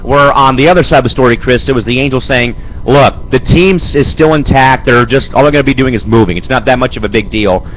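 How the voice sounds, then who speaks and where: Raspy, hoarse young man hosting. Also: mid-range voice, quick pace, fairly bright tone, slight background noise, poor audio. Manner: rambling, fills with "man" and "Chris". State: hoarse with a head cold. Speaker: host. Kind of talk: amateur sports show hosting. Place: home studio.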